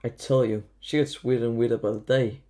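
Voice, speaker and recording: A man's voice: medium pitch, steady tone, brisk, dark tone, natural voice. A man playing a blunt, sarcastic teenage schoolboy in an audio drama. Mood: dry, baffled. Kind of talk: fan audio drama acting.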